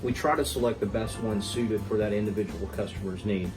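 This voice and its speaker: A man who is a mortgage specialist, giving steady explanations with frequent fillers as a podcast guest. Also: fairly low pitch, fairly quick, recorded from a distance, over music, poor audio. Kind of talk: explaining in an interview.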